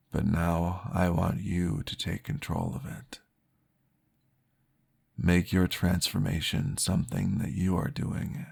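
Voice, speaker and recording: A man voicing a calm, patient male vampire therapist, soft-spoken and reassuring, often dropping into a whisper in an ASMR roleplay. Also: low voice, exaggerated voice. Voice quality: gravelly, husky. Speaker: therapist guiding a listener.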